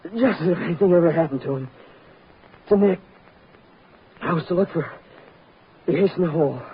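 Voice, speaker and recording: An actor playing a frightened, exhausted young fugitive in old-time radio drama, speaking in a halting, pleading way. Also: male voice, fairly high pitch, husky. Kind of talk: 1940s-50s radio drama acting. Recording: noisy, tinny old-time radio recording.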